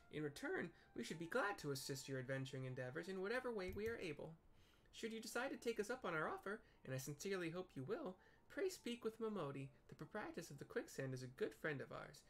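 Man putting on an eager, high-voiced young woman. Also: full character voice. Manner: formal, eager phrasing. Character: earnest, cheery young female adventurer recruiter.

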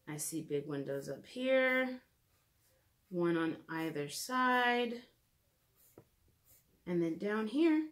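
A woman in her thirties reading aloud and leading a drawing lesson for preschoolers in a clear, simple storytelling manner, recorded in a room at home. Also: medium pitch. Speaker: children's educator reading and drawing. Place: small room at home, indoors.

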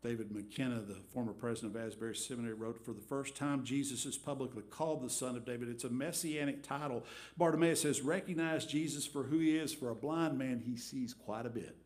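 A middle-aged man preaching a storytelling sermon from a church pulpit, swinging between big acted-out crowd voices and quiet asides. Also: mid-range voice, fairly quick. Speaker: pastor. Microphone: headset mic.

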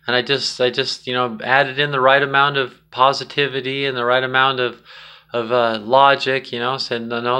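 A man's voice: medium pitch, bright, forceful tone. A middle-aged man telling a personal story in a casual, rambling, candid vlog style, recorded on a phone indoors at home. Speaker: vlogger. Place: small indoor room at home. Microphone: phone at arm's length.

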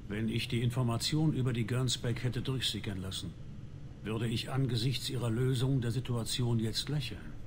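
Game mastermind: male actor, mid-pitched, measured, aloof, calculating; noticeable hum behind. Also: steady tone, close to an everyday voice. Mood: cold control. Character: cool, calculating male leader of shadowy organisation. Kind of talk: dramatic video game acting.

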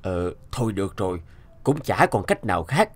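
A man voicing a serious, sympathetic middle-aged doctor in a cartoon dub, speaking in a measured manner. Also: sits low, big pitch swings. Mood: concerned, hesitant. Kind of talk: dubbed cartoon dialogue.

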